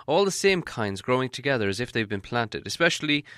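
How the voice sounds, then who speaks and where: A man narrating an audiobook in a steady, measured storytelling manner. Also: medium pitch, dry recording, studio quality. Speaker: audiobook reader.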